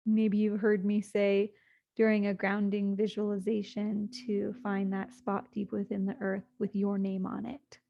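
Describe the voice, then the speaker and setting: A woman guides a sleep meditation in a soothing, gently paced voice with long pauses. Her pitch stays flat and even. The recording sounds slightly muffled and lo-fi. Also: creaky.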